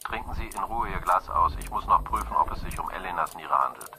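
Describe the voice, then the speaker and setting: A man's voice: low-pitched, animated, quick pace, vocal fry, background noise. An actor playing a businesslike policeman reporting to a colleague in a radio crime drama. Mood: matter-of-fact.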